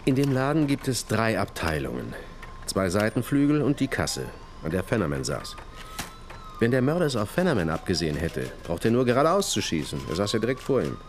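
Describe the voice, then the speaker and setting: A level-headed detective with a fairly low voice, speaking tersely and firmly. It is naturalistic radio crime drama, with noticeable ambience and traffic noise behind him. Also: male voice, sing-song, brisk. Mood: measured, thoughtful. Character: level-headed, firm male police detective.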